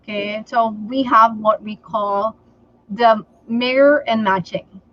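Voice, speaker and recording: A woman from the Philippines whose first language is Tagalog, giving sales advice in a livestream interview and often pausing on "okay" and "um". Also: on the high side, fairly even intonation, no room sound, muffled recording. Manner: slow and deliberate, many fillers like okay and um. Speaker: sales trainer guest.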